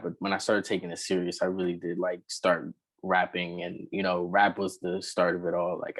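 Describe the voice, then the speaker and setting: A man, a rapper and singer, talking casually in an interview, relaxed and rambling with many fillers. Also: sits low.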